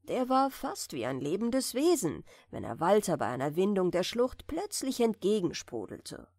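A woman gently narrating a bedtime fairy tale in a soft, soothing manner. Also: mid-range voice, very animated, normal speed, dry recording, clean studio sound. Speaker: audiobook reader.

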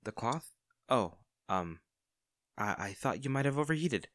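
A man's voice: medium pitch, lively intonation, a little slow, a bit bright, no echo, studio quality. A man playing a friendly, caring young barista in an intimate audio roleplay, talking in a casual, hesitant and attentive way. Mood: flustered. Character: friendly, caring young male barista, teasing and easily flustered.

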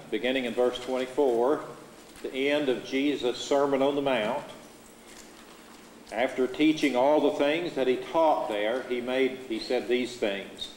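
Middle-aged American male preacher, measured earnest sermon, lapel mic, long church reverb, faint hum. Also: medium pitch. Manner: measured, earnest preaching with steady Scripture reading. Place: church sanctuary, at a pulpit before a curtain. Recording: echoey church hall, faint room noise.

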